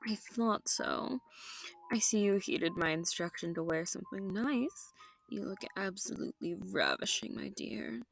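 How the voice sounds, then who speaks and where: A woman's voice: mid-range voice, a little slow, gravelly. A woman playing a seductive, sadistic vampire noble in an intimate audio roleplay, genteel and teasing with menace, often sinking into whispers. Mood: sultry, admiring. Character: seductive, sadistic, possessive female vampire noble hosting her foe.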